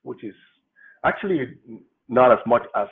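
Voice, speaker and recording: A man from Cameroon, a political candidate, telling his life story in an interview with relaxed, explanatory humor. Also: mid-range voice, normal speed, vocal fry. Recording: tinny phone-like line.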